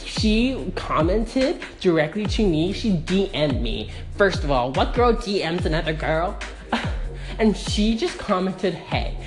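A woman's voice: on the low side, very animated, slow, music in the background. A woman playing a shallow, self-absorbed young podcaster who talks in a giggly valley-girl drawl. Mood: catty. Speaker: host of her own audio show. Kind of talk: comedic parody monologue.

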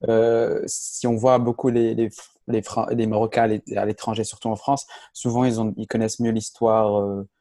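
Young guy hosting a casual podcast, lowish voice, dry close sound, stop-start phrasing. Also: level tone, normal speed, mellow, no echo. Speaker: podcast host. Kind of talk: casual discussion.

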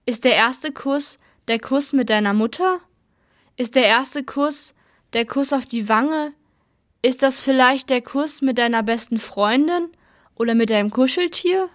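A woman's voice: sits high, unhurried. A woman in a radio play musing aloud in a reflective monologue full of questions.

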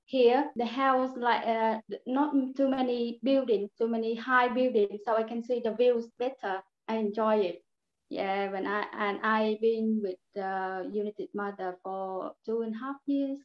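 A young woman with a Vietnamese accent, an English learner being interviewed, speaking halting second-language English. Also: on the high side, steady tone. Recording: echoey room, slightly dull sound.

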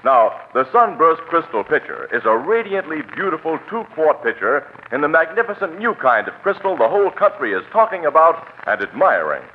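A man's voice: fairly high pitch, very animated, brisk, few pauses, slight background noise. A man announcing scripted 1940s radio ads and credits in a polished, emphatic manner. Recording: tinny old radio broadcast, music behind.

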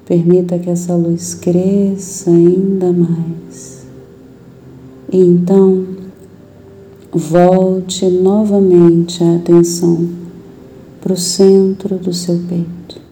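A Brazilian woman leading a guided meditation in a soothing, gentle, unhurried manner with long pauses. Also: fairly low pitch, flat delivery, a little hiss or hum, poor audio.

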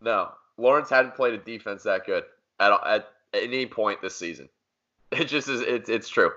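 A man's voice: on the low side, moderate pace, sharp tone, highs cut off. A man co-hosting a casual sports podcast, arguing his points emphatically and repeating them for force. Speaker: podcast co-host.

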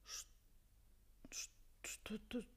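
A flustered, very slow-spoken mother. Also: fairly bright tone, in a whisper. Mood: embarrassed. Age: middle-aged. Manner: hesitant, breathy murmurs and exclamations. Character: simple, flustered middle-aged mother of the bride. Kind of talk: Soviet radio play acting. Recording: studio radio play with music.

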